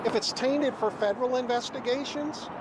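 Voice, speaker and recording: A man's voice: very high voice, normal speed, background noise. A man giving formal interview comments as a watchdog official. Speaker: watchdog group president being interviewed.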